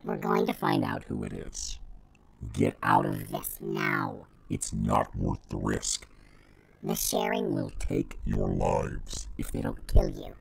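A man playing an anonymous hacker informant who gives grim, deliberate warnings in an audio drama. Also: a little high, huge pitch swings, vocal fry, music in the background, full character voice. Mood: ominous warning. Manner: slow, deliberate, ominous.

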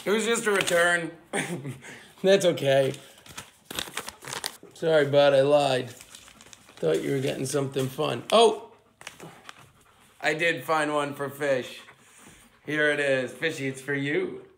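A young man from Cape Cod, hoarse from a cold, chatting casually as a vlog host into a handheld camera and talking to his dog. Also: fairly high pitch, fairly even intonation, slow, pauses often, a little hiss or hum. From Cape Cod, Massachusetts. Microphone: handheld camera at arm's length. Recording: music playing behind the voice.

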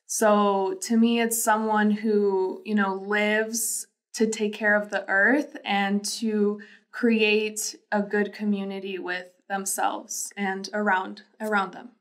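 A young woman from Lithuania co-hosting a podcast, explaining things in a calm, measured way. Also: medium pitch. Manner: calm, measured, frequent "you know". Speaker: podcast co-host. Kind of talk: casual podcast chat. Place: small bright studio room, indoors. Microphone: desk microphone.

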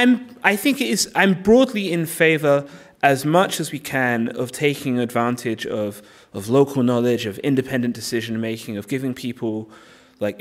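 A man from South London, a philosopher on an academic panel, hesitant at the start of thoughts and self-deprecating. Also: mid-range voice. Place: lecture room, panel on stage.

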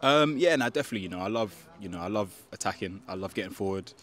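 A man's voice: lowish voice, expressive, fast, a bit bright, slight background noise. A young man, a football player giving a post-match interview outdoors into a handheld mic, hesitant with many fillers and restarts. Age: young adult. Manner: hesitant, many fillers and restarts, keeps asking "do you know what I mean". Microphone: handheld microphone.

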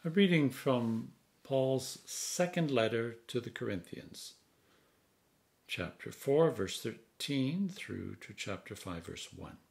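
Guy in his 60s reading bible passages, solemn, really unhurried, medium pitch. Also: expressive, gravelly. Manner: measured, solemn, deliberate phrasing. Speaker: Anglican priest reading scripture. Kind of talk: scripture reading. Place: home study, indoors.

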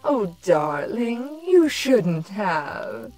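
A woman's voice: mid-range voice, very animated, drawn-out words, darkish tone, faint music behind. A woman voicing a doting, sultry female changeling queen who coos coyly at her beloved in a fan story reading. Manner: cooing, coy, affectionate. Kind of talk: dramatic fan fiction reading.

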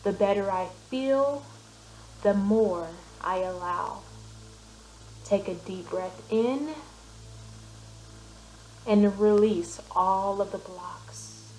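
A woman guiding a meditation with affirmations, soothing and deliberate, pausing between short phrases. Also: medium pitch, a little mellow, a little hiss or hum. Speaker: meditation guide and business coach. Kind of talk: guided meditation with spoken affirmations. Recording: roomy, echoing voice recording. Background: background music.

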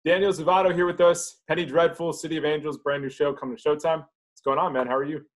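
A man in his thirties hosting an interview over a video call, asking brisk, friendly questions. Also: a little high, steady tone. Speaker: interview host. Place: home office with bookshelves, indoors.

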